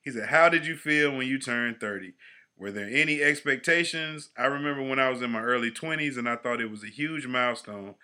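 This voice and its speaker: A man in his forties co-hosting a podcast in casual chat, slangy and animated, trailing off quietly at the ends of phrases. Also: lowish voice. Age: 40s. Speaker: podcast co-host.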